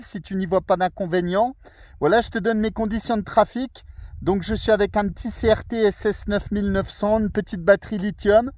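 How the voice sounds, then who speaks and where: A man's voice: sits high, no room sound. A man with an accent from France, talking as an amateur radio operator in a friendly, courteous, casual way. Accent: French. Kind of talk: casual radio contact chat. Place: outdoors on a small mountain summit.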